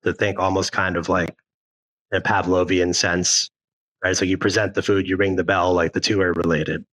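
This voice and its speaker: A young man from Thailand, a guest on a sales podcast, explaining his ideas in a measured, analytical way. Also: deep voice, flat delivery, a bit bright, rough recording. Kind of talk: podcast interview.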